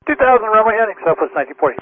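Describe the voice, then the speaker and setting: A man's voice: sits high, big pitch swings, racing, dry recording. A man working as an airline pilot, giving clipped readbacks over cockpit radio. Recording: tinny narrow-band aviation radio.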